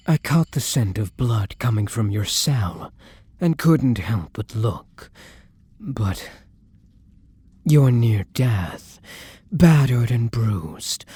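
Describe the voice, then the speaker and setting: A man playing a lonely, gentle vampire in an intimate ASMR roleplay, speaking softly and haltingly with a wistful tenderness. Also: on the low side, breathy edge, dry recording, full character voice. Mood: concerned, tender.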